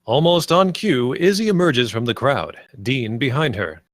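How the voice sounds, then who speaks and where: Male narrator for a table read, mid-pitched, dry close sound, scripted stage directions. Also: expressive, measured pace, no room sound.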